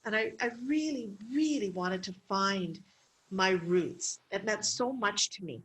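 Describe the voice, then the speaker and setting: A middle-aged woman from Canada, a medical clown being interviewed, telling stories in a lively way with laughs and fillers. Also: medium pitch, unhurried, rough recording. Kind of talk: interview conversation.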